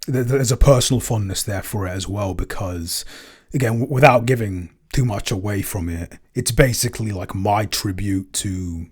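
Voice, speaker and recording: A man with a fairly low voice answers questions as a podcast writer. He speaks casually and hesitantly, often stammering at the start of words and pausing to find the next one. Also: darkish tone, husky. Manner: hesitant, halting, stammers over word starts. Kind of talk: casual Q&A chat.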